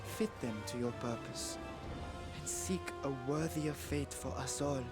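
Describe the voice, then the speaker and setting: A man voicing an ancient dragon in a game, solemn, dignified and eloquent, with formal archaic speech and measured delivery. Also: medium pitch, lightly acted. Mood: solemn, grave. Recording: loud music under the voice.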